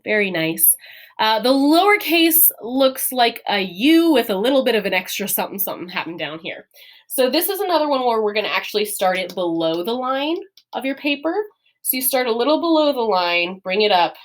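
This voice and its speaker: Patient woman teacher explaining step by step. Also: mid-range voice. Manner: patient, step-by-step, laughs often. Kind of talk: classroom lesson explaining letters.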